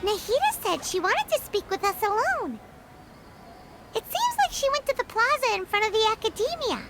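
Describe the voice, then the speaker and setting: A woman voicing a tiny excitable female fairy companion in a video game, chirpy and talking about herself by name. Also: very high-pitched, normal speed, very noisy. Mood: chatty. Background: game music.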